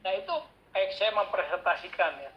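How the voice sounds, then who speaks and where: A man giving a casual workshop talk as guest speaker, chatty and animated, telling anecdotes in a slangy way. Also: high-pitched, brisk, muffled recording, slight background noise. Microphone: online call mic.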